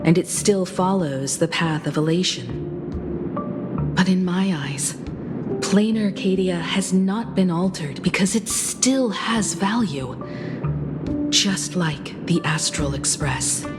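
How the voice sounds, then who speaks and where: A woman playing a composed, resolute space traveler in a video game, speaking in a measured, earnest, formal way. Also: fairly low pitch. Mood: calm, probing. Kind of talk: scripted video game voice acting. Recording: music behind the voice.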